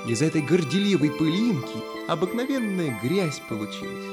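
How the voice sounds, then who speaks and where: A man's voice: a little high, big pitch swings, moderate pace. A man narrating a children's fairy tale as a storyteller, theatrical and addressed to small listeners. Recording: music behind the voice.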